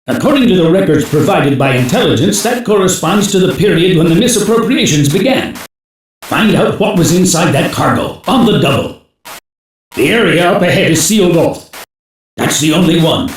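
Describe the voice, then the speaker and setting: Stern older security chief, slightly high-set male voice, terse formal orders, anime game dub, heavy room echo. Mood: stern, commanding. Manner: terse, authoritative, formal briefing delivery. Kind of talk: English-dubbed anime game voice acting. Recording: very echoey, reverberant game audio.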